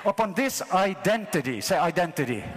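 A Norwegian man in his fifties preaches with a high voice, throwing out repeated call-and-response phrases to the crowd. His sermon rings out through a large hall with a long, lingering reverb. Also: big pitch swings, moderate pace, a bit bright. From Norway. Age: 50s. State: has a mild ear infection.

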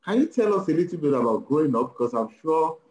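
A man's voice: on the high side, sing-song, fast talker, darkish tone. A man asking questions conversationally as an interviewer, recorded with a roomy echo. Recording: roomy, echoing sound.

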